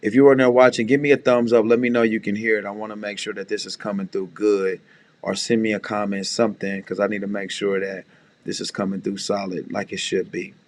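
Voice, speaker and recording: A man with a deep voice, a music producer casually talking beginners through mixing, often saying "you know what I'm saying". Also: level tone, fairly quick. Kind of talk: casual screen-share tutorial.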